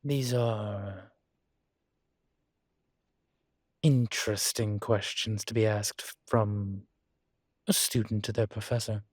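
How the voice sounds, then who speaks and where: Slow, low-voiced incubus professor, acted by a man. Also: fairly even intonation, no room sound, studio quality. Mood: coy, measured. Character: male incubus demon professor, vain, seductive, politely awkward. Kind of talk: intimate audio roleplay, one-sided conversation.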